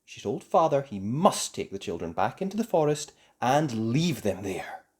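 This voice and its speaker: A children's story reader narrating a fairy tale. The delivery is theatrical and emphatic, with very lively rises and falls in pitch. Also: normal speed, husky. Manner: theatrical, emphatic for young listeners. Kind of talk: expressive scripted storybook narration.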